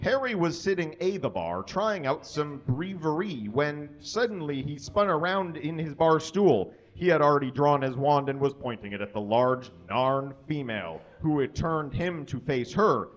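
A man reads a story aloud for comedy from a small stage, in a voice slightly higher than most men's. He delivers it deliberately, stumbling over errors as written. The live recording sounds somewhat dull and muffled. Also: lively intonation. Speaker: live reader. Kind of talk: comic dramatic reading of fan fiction to an audience. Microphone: stand microphone on stage, filmed from a distance.

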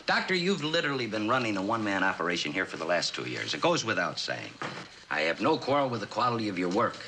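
Stern senior official, low voice, lively intonation, formal, demanding, vintage TV drama, slightly noisy soundtrack. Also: male voice, quick pace. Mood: blunt, insistent. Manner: formal, firm, clipped authority. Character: blunt, impatient male senior official, courteous but demanding. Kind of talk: mid-century television drama acting. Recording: old TV soundtrack with background noise.

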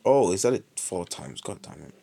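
Young british male streamer, low-pitched, animated delivery, feeling ill, chatty and prone to excited shouts. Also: normal speed, creaky, breathy edge. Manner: chatty, excitable outbursts, muttering to himself. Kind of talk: live gameplay commentary.

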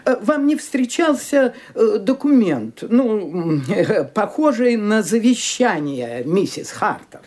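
A man's voice: high-pitched, big pitch swings, darkish tone, exaggerated voice. An actor playing a fussy, hesitant lawyer who stumbles over his words in a radio play. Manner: halting, full of "uh" hesitations. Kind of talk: Soviet radio play acting.

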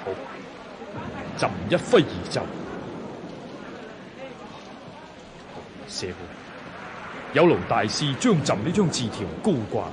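An actor playing a lordly, generous Chinese emperor in a radio drama, with a stately, commanding manner. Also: male voice, mid-range voice, sing-song, measured pace, noisy background. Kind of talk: Cantonese radio drama acting.

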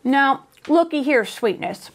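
A woman plays a storybook sheriff of the Wild West, full of bluster and good intentions. The voice sits fairly high, with an American cowboy drawl and folksy, emphatic phrasing. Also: animated, slow pace, exaggerated voice. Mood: scolding. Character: folksy male Wild West sheriff, boastful, well-meaning, dim.

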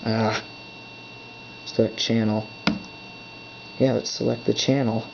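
Slow gravelly man muttering to himself. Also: mid-range voice, little pitch movement. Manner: muttering to himself while pressing buttons. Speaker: hobbyist setting up a device. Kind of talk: off-the-cuff thinking aloud. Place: indoors at a desk. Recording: dull lo-fi camera audio with faint hum.

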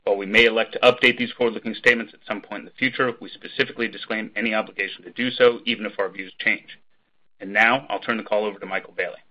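A man's voice: on the low side, steady tone, fast. A man reading a formal scripted disclaimer as a company spokesman on an earnings call. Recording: dull, lo-fi conference call audio.

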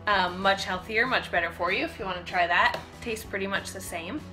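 Bright-voiced woman chatting casually to camera. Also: medium pitch, a little fast, soft background music. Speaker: fitness vlogger. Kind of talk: casual chat to camera, product demonstration. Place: home kitchen, indoors.

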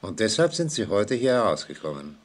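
An actor playing a composed, authoritative prison inspector in a vintage German radio play, formal, deliberate and patiently firm. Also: male voice, lowish voice, very animated. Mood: formal, probing.

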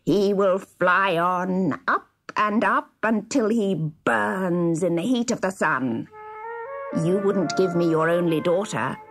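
A wicked little dwarf from a children's storybook, acted out by a woman. He draws out his words very slowly, sneering and gloating, with music behind him. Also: fairly low pitch. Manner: slow, drawn-out, gloating, sly. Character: evil, sneering, cackling male dwarf stranger. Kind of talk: children's storybook character reading.

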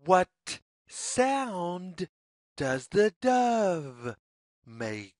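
A storyteller reading a children's read-along story in deliberate, sing-song phrases with pauses. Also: medium pitch, big pitch swings, dry recording, clean studio sound. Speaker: read-aloud narrator.

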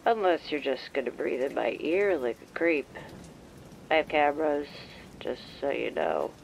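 Woman as a gravelly, surly shopkeeper. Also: sits low, measured pace, slight background noise, heavily acted, rough recording. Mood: irritated, sleepy. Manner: deadpan, grumpy. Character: surly, weary, sarcastic female bookstore keeper. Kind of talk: audio roleplay drama acting.

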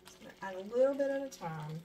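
A woman's voice: a little high, animated, fast. A woman chatting casually as she cooks at her home stove, thinking aloud and poking fun at herself. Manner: chatty, self-deprecating, thinking aloud while cooking. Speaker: home cooking vlogger. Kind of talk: casual cook-along narration. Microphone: camera overhead, speaker partly off frame. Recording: noisy home kitchen recording.

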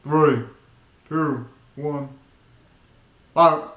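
A man's voice: a little high, fairly even intonation, drawn-out words, a bit bright. A young man giving casual, slangy and exclamatory reaction commentary as a video host, recorded in a small bedroom. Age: young adult. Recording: roomy, echoey bedroom sound.

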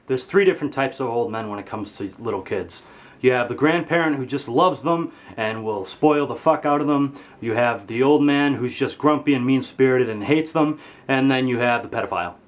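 A teenage boy vents straight into a webcam from his bedroom, playing up his anger for laughs. His voice sits at a middle pitch but leaps high and loud when he gets indignant, then drops to a low grumble. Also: fairly quick. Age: teens. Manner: exaggerated angry ranting, swearing, pitch jumping high when outraged. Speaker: vlogger. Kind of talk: comedic rant to camera. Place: bedroom, indoors.

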